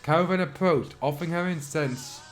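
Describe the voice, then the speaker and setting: Guy w a sore throat reading fanfic and snarking at it, kinda high voice, keeps clearing his throat, quiet music behind. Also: brisk. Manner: dry, mocking asides, frequent throat clearing. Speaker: channel host reading fan fiction. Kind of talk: dramatic reading with sarcastic commentary.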